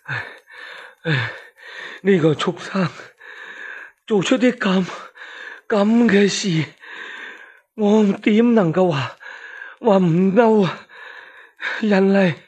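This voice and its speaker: A man voicing a sick, enraged old emperor in a radio storytelling drama, halting and groaning as he repeats himself. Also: sits high, animated, darkish tone, husky, voice pushed up, exaggerated voice. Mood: furious, weak.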